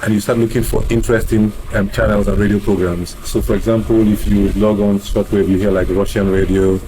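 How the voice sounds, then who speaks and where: A man's voice: on the low side, little pitch movement, fairly quick, dark tone, rough recording. A man with a Nigerian accent, answering interview questions conversationally as a musician. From Nigeria, grew up in Lagos. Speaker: musician and bandleader being interviewed.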